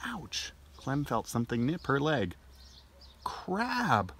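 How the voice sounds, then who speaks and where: A man in his thirties hosting storytime for young children outdoors, with an animated, gentle and expressive delivery. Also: medium pitch, slow pace, husky. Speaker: library storytime host. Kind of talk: read-aloud storytelling and chat for young children. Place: outdoors in a leafy garden. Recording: outdoor background noise.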